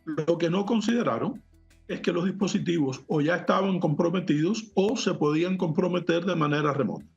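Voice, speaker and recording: A man with a fairly high, gravelly voice explains technical matters as an interview guest. He is measured and precise. The sound is muffled and lo-fi, with music underneath. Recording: muffled lo-fi audio, music behind.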